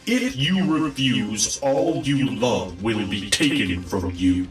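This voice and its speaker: A man's voice: medium pitch, animated, slow pace. A man acting an imperious, threatening villain leader in a superhero audio drama. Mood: menacing. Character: menacing commanding villain leader. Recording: very echoey, distant-sounding, music underneath.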